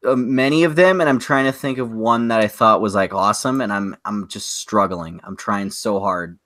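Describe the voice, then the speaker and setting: A man co-hosting a podcast, chatting casually and giving opinions with a mock-exasperated, animated way of talking. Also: on the low side, normal speed, no echo, clean studio sound. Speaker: podcast co-host. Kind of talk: casual opinionated chat about movies and games.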